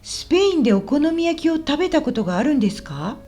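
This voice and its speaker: Lady acting as a friendly helpful local guide in a learner radio drama, high lilting voice, super clear and polite. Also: sing-song, fast, mellow, faint music behind. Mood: explaining. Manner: polite, explanatory, clearly enunciated. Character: friendly, helpful woman guiding a visitor around Osaka. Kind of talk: scripted language-lesson radio drama.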